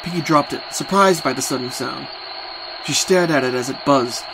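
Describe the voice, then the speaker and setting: A man's voice: medium pitch, moderate pace, vocal fry, background noise. A man reading scripted story narration as a dramatic narrator.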